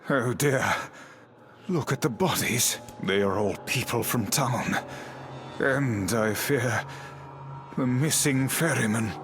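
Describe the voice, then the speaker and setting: A man's voice: mid-range voice, slow, long pauses. A man playing a haughty talking magic book companion in a video game, speaking in formal, archaic, learned phrases. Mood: grave, foreboding. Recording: loud music behind the voice. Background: loud game music.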